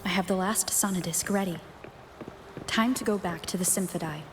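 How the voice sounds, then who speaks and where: A woman's voice: lowish voice, moderate pace, lightly acted. A woman voicing a composed, determined young adventurer in a video game, with music behind her.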